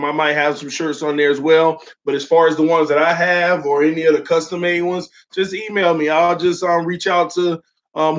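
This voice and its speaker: Young male stream host, fairly high, flat-voiced, loose and friendly with steady "um" fillers, voice still sore from illness, muffled lo-fi sound. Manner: loose and friendly, heavy "um" fillers, calls viewers "man" and "y'all". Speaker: host of a sports fan livestream. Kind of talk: casual livestream chat, reading viewer comments. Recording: dull lo-fi stream audio.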